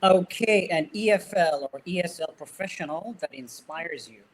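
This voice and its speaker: A man's voice: high voice, normal speed, rough recording. A man putting questions in a casual interview. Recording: faint background noise.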